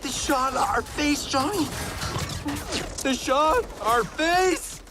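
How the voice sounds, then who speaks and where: Cocky middle-aged action star, sarcastic, quippy, high lively voice, unhurried. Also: male voice, heavily acted. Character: cocky wisecracking older male action-movie star. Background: game soundtrack music.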